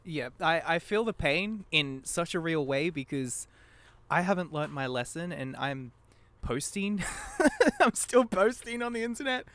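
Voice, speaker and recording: Animated, giggly young man chatting. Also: on the high side, measured pace. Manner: giggly, animated, self-deprecating. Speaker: podcast guest, amateur voice actor. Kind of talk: casual chat.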